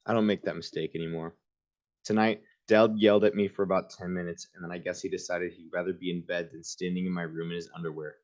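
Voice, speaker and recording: Low voiced guy reading a kids book out loud, chill and informal with little side comments. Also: little pitch movement, fast talker. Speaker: reader hosting a book livestream. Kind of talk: reading a children's book aloud with casual asides.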